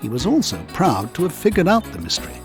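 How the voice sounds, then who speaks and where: A man narrating a scripted children's story in an expressive, measured storytelling manner. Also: on the high side, soft background music.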